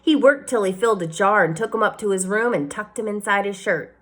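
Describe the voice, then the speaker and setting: A woman reading a children's novel aloud, the way a teacher reads to a class. Her storytelling is expressive, with shifting emphasis and pace. Her pitch sits in the middle for a woman. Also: fairly quick.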